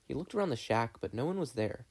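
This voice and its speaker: A man's voice: mid-range voice, animated, fast talker, husky. A man reading a story aloud in scripted narration. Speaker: podfic reader.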